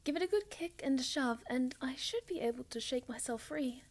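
A woman playing a sweet, timid young moth girl in an ASMR roleplay, speaking softly and breathily with hesitant stammers. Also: sits high, a little fast. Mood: startled, hurting. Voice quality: soft, breathy, often near a whisper.

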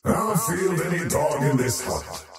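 An actor voicing an arrogant, menacing faceless void creature in a video game, with pompous, ominous and theatrical delivery. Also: male voice, a little high, big pitch swings, a little slow, recorded from a distance. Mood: disdainful. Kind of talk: theatrical game character voice lines. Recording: roomy reverb over background music.

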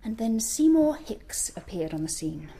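High, breathy stage leading lady. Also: very animated, measured pace, mellow, heavily acted. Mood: bitter. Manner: theatrical, formal, candid. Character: frank, dramatic Victorian actress, grieving and proud. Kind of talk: radio drama acting.

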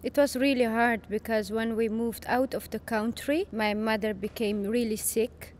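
A woman's voice: a little high, fairly even intonation, moderate pace, a little hiss or hum. A young woman from Chechnya, a native Dutch speaker, talking in an interview with many fillers and restarts in a studio. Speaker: podcast guest. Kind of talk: casual interview conversation. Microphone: boom-arm studio mic.

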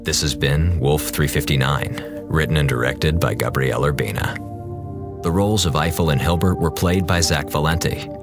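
A man's voice: rumbling low, normal speed. A man reading scripted credits as the announcer of a radio drama. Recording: music behind voice.

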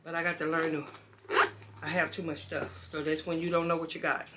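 A woman's voice: deep voice, a little fast, very noisy. A middle-aged woman, chatting casually to viewers as she tidies her beauty room, filmed on a camera mic in a bedroom. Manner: chatty, relaxed, talks to viewers as y'all. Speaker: beauty vlogger. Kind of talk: casual chat while tidying. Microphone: camera mic, moving around.

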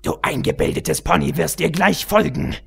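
A man's voice: a little high, moderate pace, creaky, breathy edge, voice pushed up, full character voice. Guy doing a menacing villain queen in a fairy story, snooty and spiteful, raised voice. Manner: menacing, haughty. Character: haughty villainous insect-pony queen, spiteful.

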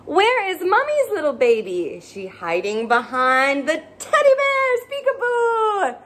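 A woman's voice: extremely high pitch, big pitch swings, measured pace, a bit bright. A young woman hosting a children's story time, reading a picture book aloud in an exaggerated, playful way with gasps and questions. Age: young adult. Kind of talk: animated picture-book read-aloud for toddlers. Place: small indoor playroom with bookshelves.

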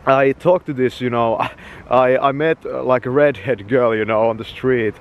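A man's voice: medium pitch, steady tone, moderate pace, muffled recording. A young man from Finland with a Finnish accent, chatting casually and swearing freely as he walks and films himself at arm's length outdoors. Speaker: vlogger. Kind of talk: rambling walk-and-talk vlog. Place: outdoors, walking through woods and town. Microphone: handheld camera at arm's length.

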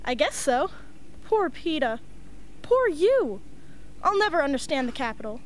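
A sweet, naive teenage girl in a radio play, acted by a woman. The voice is very high and swoops with lively pitch, over a little background noise. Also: unhurried. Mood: sweet, admiring. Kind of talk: amateur radio play acting.

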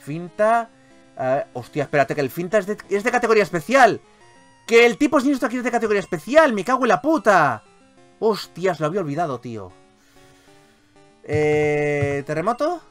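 A man with a Castilian Spanish accent giving casual, animated gameplay commentary as a video host, swearing freely. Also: high voice, normal speed, soft background music. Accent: Spanish from Spain.

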